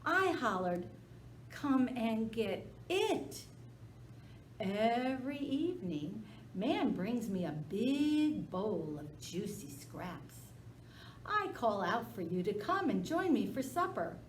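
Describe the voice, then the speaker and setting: A sly old hound dog with a high, whining, wheedling voice, as a woman puts him on in a storybook reading. He howls his taunts and begs sweetly, pitching up high and pushing hard. The sound carries an echoey room. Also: very animated, slow pace, a little hiss or hum. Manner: whining, howling, high and pleading.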